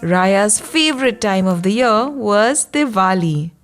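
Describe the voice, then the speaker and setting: A woman narrating a scripted bedtime story for young children, guiding them in a gentle, soothing manner. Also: medium pitch, animated, soft background music. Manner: slow, soothing guidance addressed to young children. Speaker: children's storyteller and yoga guide.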